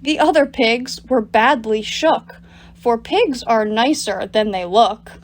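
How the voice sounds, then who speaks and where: A woman reading picture books aloud to children as a storytime reader, in an animated, deliberate storytelling manner. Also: on the high side. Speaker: storytime reader at a public library. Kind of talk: expressive picture-book read-aloud for children.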